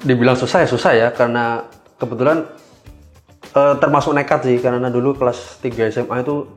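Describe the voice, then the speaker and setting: A young man with an Indonesian accent giving casual explanatory answers as an interview guest, informal and halting as he thinks aloud. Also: medium pitch, steady tone, soft background music. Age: young adult. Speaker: interview guest, government cybersecurity engineer.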